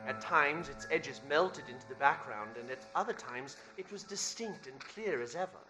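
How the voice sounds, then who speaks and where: A man's voice: on the high side, expressive, moderate pace, over music, rough recording. A man narrating a scripted radio play in a 1930s style, telling the story dramatically.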